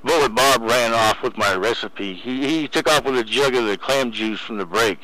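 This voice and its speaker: A man's voice: mid-range voice, normal speed, sharp tone, rough recording. A man teasing in casual CB slang over a radio, repeating his phrases. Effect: CB radio transmission. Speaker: CB radio operator.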